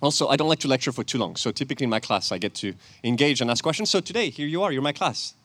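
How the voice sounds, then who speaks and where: A man's voice: mid-range voice, dry recording. A man with a French accent gives a chatty sermon outdoors, quizzing the congregation and laughing often. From France. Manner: animated, chatty, laughs often, quick with self-corrections. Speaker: guest preacher, church elder and chemist. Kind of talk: lively outdoor sermon with audience questions. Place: outdoor church service with planes overhead.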